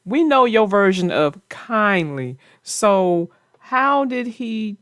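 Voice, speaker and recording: A woman hosting a children's read-aloud show, chatting about a book with young readers in deliberate, emphatic phrases. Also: mid-range voice, very animated, a bit bright, dry recording, studio quality.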